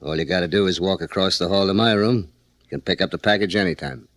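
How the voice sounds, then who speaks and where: An actor playing a tough, menacing drug dealer in 1940s radio crime style, terse and commanding. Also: male voice, low voice, fast, a bit bright. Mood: firm, threatening. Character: menacing hard-boiled male drug dealer, Big Jim.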